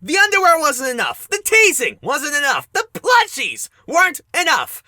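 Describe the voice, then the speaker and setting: A woman's voice: high-pitched, huge pitch swings, moderate pace, sharp tone, no room sound, studio quality, exaggerated voice. A woman playing a sarcastic, exasperated young male cartoon hedgehog hero in an amateur audio drama. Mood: exasperated, incredulous. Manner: sardonic, muttering to exclaiming.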